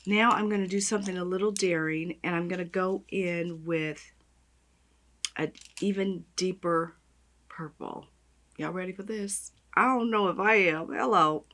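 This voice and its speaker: Raspy chatty woman gushing over makeup. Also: lowish voice, measured pace, fairly bright tone. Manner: gushing, chatty, talks while applying makeup. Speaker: beauty vlogger. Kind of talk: makeup tutorial chat.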